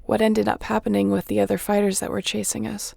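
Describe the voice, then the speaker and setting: A woman playing a wounded starship captain in a sci-fi audio roleplay, wry and caring, speaking haltingly and softly with a breathy, gravelly voice. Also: medium pitch, completely flat delivery, no room sound. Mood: incredulous, worried. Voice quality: gravelly, often breathy and whispery.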